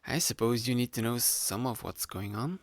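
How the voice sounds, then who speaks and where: Sly young male roommate, acted by a man: playful, manipulative hypnotist for ASMR roleplay, creaky voice, close dry mic, unhurried delivery. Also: mid-range voice, no echo, lightly acted. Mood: casual, explanatory. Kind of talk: ASMR roleplay, addressed to the listener. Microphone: close studio-style microphone, dry.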